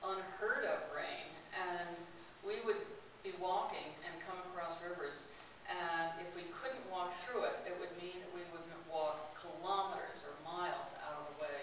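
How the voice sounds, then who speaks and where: A woman's voice: lowish voice, moderate pace, few pauses. A woman giving a chatty talk to an audience about a long walk, often laughing as she tells stories. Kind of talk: personal travel talk to an audience. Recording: distant, roomy, hissy recording.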